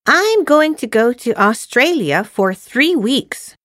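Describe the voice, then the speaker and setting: A woman's voice: a little high, big pitch swings, takes it slowly, fairly bright tone, no room sound, studio quality, heavily acted. A woman acting a cheerful, eager student girl in a scripted listening-test dialogue, enunciating carefully like a textbook.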